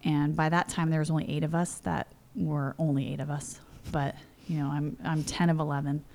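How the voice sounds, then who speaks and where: A woman's voice: sits low, flat delivery, brisk, vocal fry, a little mellow. A woman in her forties from California, telling personal stories in a chatty interview full of "you know" and "like". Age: 40s. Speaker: interview guest. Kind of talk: casual storytelling. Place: home.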